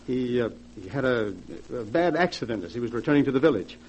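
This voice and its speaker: An actor playing a scheming, falsely solicitous nephew-in-law, placating, in 1940s radio style. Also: male voice, mid-range voice, lively intonation, quick pace, darkish tone, lightly acted. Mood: falsely sympathetic. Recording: muffled vintage 1940s radio recording.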